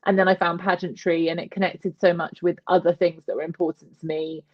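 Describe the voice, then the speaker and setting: A woman's voice: on the low side, flat delivery, brisk, lo-fi sound. A woman in her thirties, a talkative guest on an interview show, chatting casually, self-mocking, with lots of restarts.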